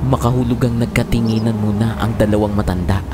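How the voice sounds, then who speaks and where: A man's voice: fairly low pitch, fairly even intonation, quick pace, noisy background. A man narrating a scripted horror story in a dramatic style. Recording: loud music bed behind voice.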